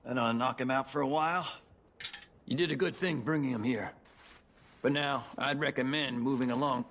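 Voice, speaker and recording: An actor playing a brisk, matter-of-fact older town doctor in a western video game, with a husky voice. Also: male voice, mid-range voice, a bit bright. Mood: brisk, grave. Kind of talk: video game cutscene acting.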